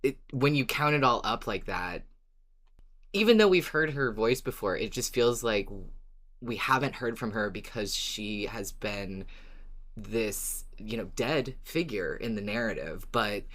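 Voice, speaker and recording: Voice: medium pitch, expressive, a little slow, a bit bright. A podcast co-host in casual, conversational fan discussion.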